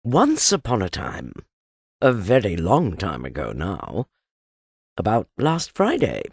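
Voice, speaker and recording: Husky, slow, very expressive woman storyteller. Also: a bass voice, no echo, clean studio sound. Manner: theatrical, deliberate. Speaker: audiobook narrator. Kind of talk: expressive children's storybook narration.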